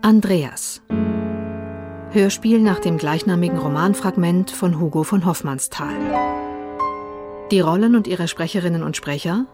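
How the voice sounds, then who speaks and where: A woman announcer reading scripted radio credits in a deliberate, neutral manner. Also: lowish voice. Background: piano and instrumental music.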